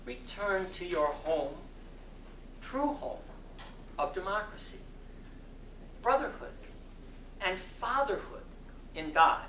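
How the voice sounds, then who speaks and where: Guy doing a dramatic sermon reading, forceful n oratorical, far from the mic in a roomy hall. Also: sits high, sing-song. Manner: oratorical, impassioned, deliberate phrasing with dramatic emphasis. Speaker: reader of a sermon. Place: community center hall. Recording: distant mic in echoey room, faint noise.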